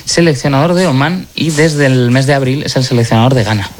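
A man's voice: medium pitch, fast, slight background noise. Hesitant man talking football. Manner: rambling with many fillers. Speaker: sports radio panelist. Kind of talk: casual football chat.

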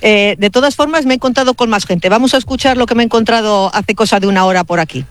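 High-voiced, fast-talking male radio reporter. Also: fairly bright tone, clean tone, dry recording. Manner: chatty, jokey, rapid-fire questions. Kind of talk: live on-the-street radio interviews. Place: outdoors at a crowded pilgrimage festival. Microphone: handheld microphone.

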